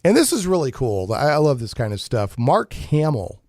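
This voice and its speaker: A man hosting a casual geek-news talk show, chatting in a jokey, animated way with playful emphasis. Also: medium pitch, moderate pace, no room sound, studio quality. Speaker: radio talk show host.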